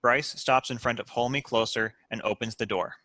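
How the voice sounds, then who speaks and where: Male narrator, crisp bright tone, close dry sound, scripted screenplay directions. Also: medium pitch, steady tone, normal speed, dry recording. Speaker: stage directions reader.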